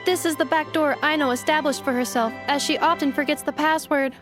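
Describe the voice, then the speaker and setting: A woman plays a calm robot assistant in a game. The voice is high and polite, delivering clipped, formal statements with machine-like precision over background music. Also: brisk, lightly acted. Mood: formal, measured. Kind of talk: video game voice acting. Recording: music behind the voice.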